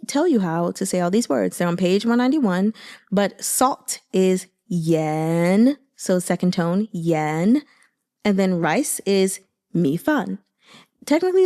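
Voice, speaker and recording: A woman with a mid-range voice speaks in a casual, chatty way, like someone sharing thoughts with followers. Her delivery is informal and expressive, with conversational asides. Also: mid-range voice. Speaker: book reader and commentator.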